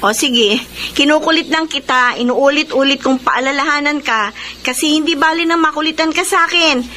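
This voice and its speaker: A woman's voice: high voice, moderate pace, pushed and bright. An actress with a Filipino accent playing a doting, nagging wife in a radio drama, insistent with her reminders. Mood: fussing, concerned. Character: doting, nagging wife who fusses over her husband. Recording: faint noise and ambience behind.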